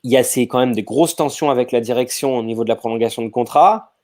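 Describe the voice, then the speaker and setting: A man's voice: medium pitch, quick pace, darkish tone. A man talking casually on a podcast, thinking aloud with lots of fillers and restarts. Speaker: podcast panelist.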